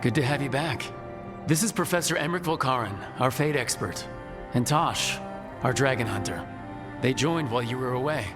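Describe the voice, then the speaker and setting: An earnest video game leader, played by a man, with a mid-low everyday voice. He is steady, direct and composed, with music clearly audible behind. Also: moderate pace, close to an everyday voice. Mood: encouraging. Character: earnest, steady young male team leader. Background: game score.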